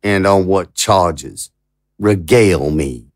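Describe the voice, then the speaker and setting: An actor playing an anguished, indignant and naive president in an audio drama, with stammering, rhetorical speech. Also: male voice, low-pitched, slow pace, dry recording, clean studio sound. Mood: incredulous. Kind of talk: dramatic audio fiction acting.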